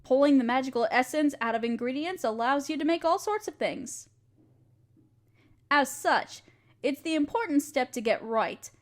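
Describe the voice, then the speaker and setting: High-voiced, encouraging alchemist woman acted out by a woman. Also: lively intonation, normal speed. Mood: instructive, encouraging. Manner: gentle, patient, reassuring teacher. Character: kind, encouraging female alchemist teaching her apprentice. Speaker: voice actor in a scripted audio roleplay. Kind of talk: intimate scripted roleplay, one-sided conversation with listener.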